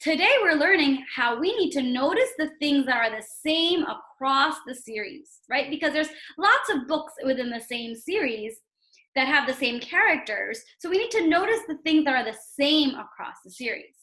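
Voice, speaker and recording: A woman's voice: high-pitched, animated, normal speed, sharp tone. A woman teacher giving a read-aloud lesson for young children, instructive and emphatic, often checking in with a questioning tag. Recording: roomy, echoing sound.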